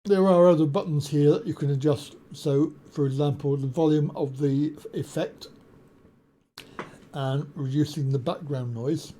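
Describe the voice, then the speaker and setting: Man, tech tutorial host, software walkthrough, slightly high voice, mellow tone, desktop condenser mic. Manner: explains step by step, with frequent pauses and ums. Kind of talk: screen-share software walkthrough. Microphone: desktop USB condenser mic.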